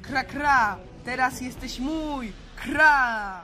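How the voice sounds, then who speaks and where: Woman doing a squawky crow monster guy, slow and threatening, caws constantly, kids radio play, highish pitch. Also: sharp tone, faint music behind, exaggerated voice. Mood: menacing, boastful. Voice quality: squawking, cawing. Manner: punctuates speech with crow caws. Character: menacing male half-man, half-crow monster that caws constantly. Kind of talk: children's audio play acting.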